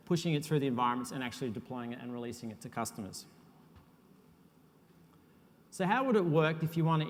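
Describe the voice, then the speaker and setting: Male conference presenter, slightly high voice, easygoing and self-deprecating, recorded in a reverberant hall. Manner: relaxed, self-deprecating presenter with frequent uhs. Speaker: conference speaker, software architect. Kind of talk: technical conference talk.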